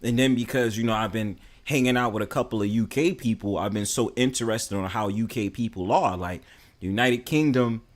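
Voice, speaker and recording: A man's voice: on the low side, fairly quick. A young man with a New York accent, talking casually and bluntly to his stream, full of fillers and swearing. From New York City. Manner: rambling, heavy fillers, blunt and profane.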